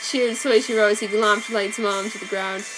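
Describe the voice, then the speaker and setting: A woman's voice: mid-range voice, fairly even intonation, moderate pace, a bit bright. A woman giving a comic dramatic reading of fan fiction, with wry offhand asides. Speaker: reader. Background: faint background music.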